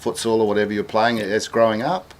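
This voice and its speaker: A man's voice: on the low side, steady tone, fairly quick. A male interviewer asking friendly questions at a player's home, often restarting his words. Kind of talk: casual interview.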